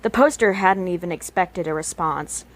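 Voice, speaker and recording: A woman's voice: fairly low pitch, moderate pace. A woman narrating a story as an amateur audiobook reader, working from a written script. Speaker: podfic reader.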